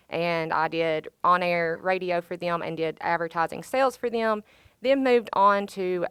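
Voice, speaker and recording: A woman's voice: lowish voice, fairly bright tone, smooth, dry recording. A woman, talking on a panel about her broadcasting career in an upbeat, conversational way. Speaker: alumni panelist, sports broadcaster. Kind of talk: panel talk.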